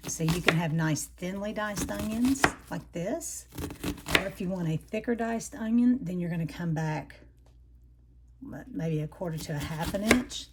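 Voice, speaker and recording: A woman, hosting a home cooking lesson with a chatty step-by-step manner; her voice is raspy from allergies and she breathes heavily. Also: on the low side, normal speed, very noisy. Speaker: home cooking channel host. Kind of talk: casual cooking demonstration. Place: home kitchen.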